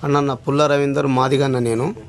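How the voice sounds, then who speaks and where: A man's voice: medium pitch, little pitch movement, fairly quick, slight background noise. A man with a Telangana Telugu accent giving an earnest, deferential account to a listener on the phone. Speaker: journalist recounting an assault on him.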